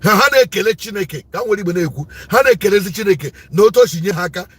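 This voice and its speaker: A loud Nigerian man holds forth on politics in a high, husky voice that keeps climbing as he presses each point. He repeats himself and drives his words home like a preacher. The sound is dry and close, with no room around it. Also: expressive, racing, no room sound. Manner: forceful, repetitive, preaching tone. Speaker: commentator. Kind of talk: impassioned political commentary.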